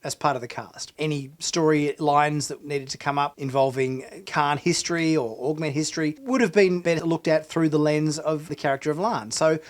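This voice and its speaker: A hoarse man hosting a sci-fi channel, giving a casual spoken TV review with lots of fillers and restarts. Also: on the high side, fairly even intonation, moderate pace, few pauses. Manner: chatty, hesitant with frequent fillers and restarts. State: hoarse from illness.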